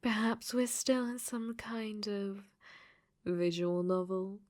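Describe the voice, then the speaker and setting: A woman voicing a clingy, possessive dating-sim AI in a robot body for an ASMR roleplay, sweetly menacing and breathy, often dropping to whispers. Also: mid-range voice, takes it slowly, darkish tone, natural voice. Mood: smug, playful. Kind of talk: ASMR audio roleplay acting.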